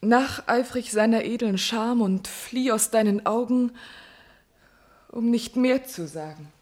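High-voiced, earnest young princess. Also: female voice, slow, a little mellow. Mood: bold reproach. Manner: impassioned declamatory verse delivery. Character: noble young princess in love, earnest and courageous. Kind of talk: classical German radio tragedy acting.

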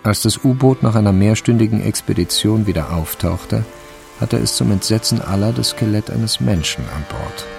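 Low, creaky-voiced male radio narrator. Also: normal speed, music in the background. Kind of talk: scripted literary narration for radio drama.

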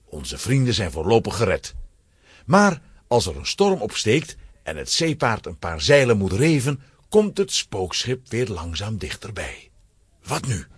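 A man's voice: fairly low pitch, wildly swooping pitch, unhurried, slightly rough, no echo, clean studio sound. A man narrating a children's adventure story in a dramatic, scripted storytelling style.

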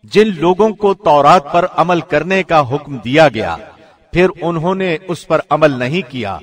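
A man reading a scripture translation like a radio presenter, formal, solemn and measured. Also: a little high, expressive.

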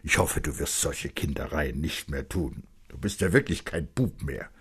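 A man's voice: a bass voice, moderate pace, slightly rough, pitched below the performer's own voice, exaggerated voice. A man voicing a stern, hot-tempered father who talks in a hard, scolding manner in a story reading. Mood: relenting, stern. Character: tall stern angry father, gruff and authoritative.

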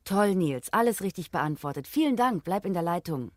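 A woman plays a friendly radio quiz host for a children's audio drama. Her voice sits fairly low and is recorded close and dry. She sounds polite, orderly and upbeat. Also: lively intonation, moderate pace, a little mellow, no room sound, natural voice. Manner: polite, upbeat, clearly addressing young listeners. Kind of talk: children's radio play, presenter patter.

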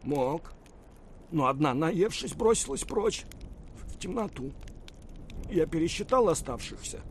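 An anxious hunter, swinging, higher-pitched voice. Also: male voice, big pitch swings, slight background noise. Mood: puzzled, uneasy. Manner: worried, halting and stammering when agitated. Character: Bill, anxious, uneasy male frontier hunter and sled driver. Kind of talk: Russian audio drama acting.

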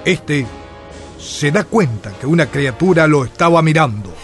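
A man narrates a radio drama in a fairly high voice, solemn and theatrical. He takes his phrases slowly over clear background music. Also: animated.

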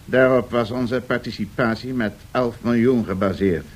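A man's voice: medium pitch, moderate pace, lightly acted. A man acting a stern, uncompromising colonel turned business executive in a Dutch radio drama, curt and formal. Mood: cold, accusing.

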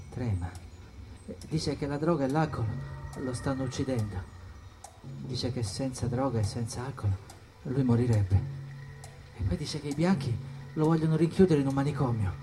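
An actor playing a young, withdrawn, watchful jazz musician in a theatrical monologue, in short, halting, repeated phrases. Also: male voice, medium pitch, lightly acted. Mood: melancholy. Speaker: stage actor. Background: jazz music and percussion.

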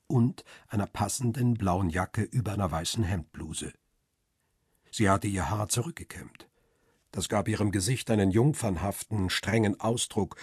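An actor playing a photographer who tells his own story in a radio crime drama, measured and observant, with a rough, husky voice. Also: lowish voice, a little mellow, no echo, studio quality. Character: male photographer, husband, observant first-person narrator. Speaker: narrator and lead actor. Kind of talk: first-person radio crime drama narration.

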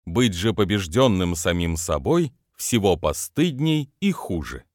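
Man with non-native pronunciation, lively delivery. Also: low voice, a little slow, no room sound, studio quality. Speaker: business trainer and author. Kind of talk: reading his own self-help book aloud.

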